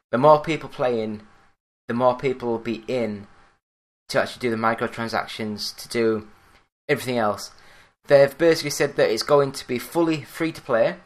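A man with a Yorkshire accent hosting a solo gaming news podcast, talking in a fairly monotone, plain-spoken way. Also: medium pitch. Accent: Yorkshire English. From Yorkshire, England. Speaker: podcast host.

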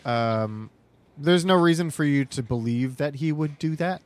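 A man running a tabletop game as game master, improvising narration in a halting, informal way. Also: a little high, animated.

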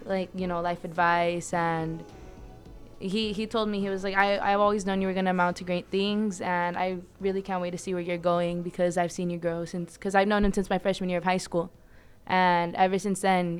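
A woman's voice: fairly low pitch, little pitch movement, brisk. Young woman chatting, rambling style. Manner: rambling, many fillers like "you know". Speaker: podcast co-host. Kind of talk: casual podcast chat.

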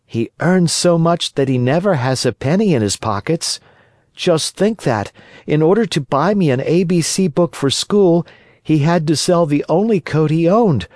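A man's voice: fairly high pitch, animated, a little slow, a little mellow, no echo, studio quality, pitched above the performer's own voice. A man voicing a naive, earnest little puppet boy from a storybook, guileless and eager in manner.

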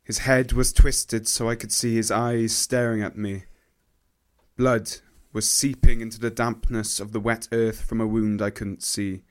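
A man's voice: fairly low pitch, level tone, a little slow, clear, resonant tone, natural voice. Man acting a grieving young soldier, solemn and sorrowful. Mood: grieving, shaken. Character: grieving young First World War soldier, friend of the fallen. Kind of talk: radio play dramatic monologue.

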